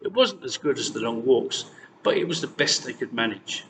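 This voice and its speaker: Animated male storyteller in a reverberant space. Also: medium pitch, normal speed. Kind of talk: expressive scripted fairy-tale narration. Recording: distant mic, long reverb, faint noise.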